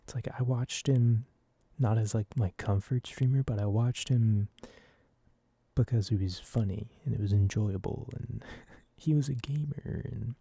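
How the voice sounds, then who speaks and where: A soft-spoken man in his early twenties rambles in a casual ASMR style. His voice is low and very dark, recorded close and dry, and he tends to put himself down as he talks. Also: a little slow, gravelly, slightly rough, dry recording. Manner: casual, self-deprecating. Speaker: ASMR video creator.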